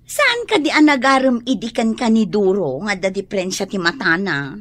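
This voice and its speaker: Woman acting a lively Filipino gossip. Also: medium pitch, moderate pace, lightly acted. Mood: gossipy. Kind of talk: Filipino radio drama acting.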